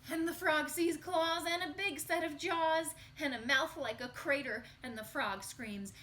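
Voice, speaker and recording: A woman reading a picture book aloud to young children in an expressive, sing-song storytelling manner. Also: high-pitched, a little slow, a little hiss or hum.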